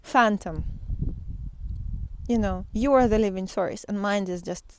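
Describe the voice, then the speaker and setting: Slow, halting woman sharing spiritual reflections. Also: mid-range voice, slight background noise. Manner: halting, thinking aloud, with pauses. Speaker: spiritual teacher and artist. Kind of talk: reflective spiritual talk, unscripted monologue.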